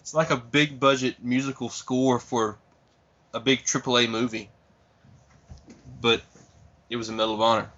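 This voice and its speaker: Late-twenties guy, podcast guest, earnest nostalgic talker, mid-pitched, muffled lo-fi sound. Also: moderate pace, long pauses. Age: 20s. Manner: reminiscing, earnest, steady. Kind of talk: casual chat. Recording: dull lo-fi podcast mic.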